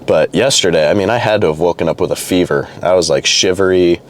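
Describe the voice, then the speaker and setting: A young man vlogging casually to camera, a little hoarse and congested from a cold, rambling loosely with restarts and fillers. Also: low voice, fast talker, slight background noise. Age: young adult. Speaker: fitness vlogger. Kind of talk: casual vlog talk to camera.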